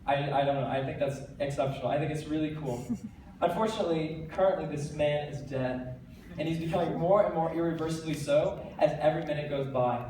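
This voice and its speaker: A teenage American man speaking on stage, earnest and energetic, his voice sitting a little high. He sounds distant from the microphone, with heavy echo filling a large hall. A faint hiss of noise sits underneath. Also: a little fast. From United States. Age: teens. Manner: earnest, energetic, with light self-deprecating jokes. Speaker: TEDx speaker. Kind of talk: stage talk to a live audience.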